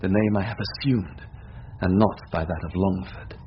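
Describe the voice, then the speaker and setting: An actor playing an earnest, proud young student in an old-time radio drama, speaking formally, on a dull, hissy recording. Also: male voice, sits low, fairly even intonation, normal speed, natural voice. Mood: earnest, pleading.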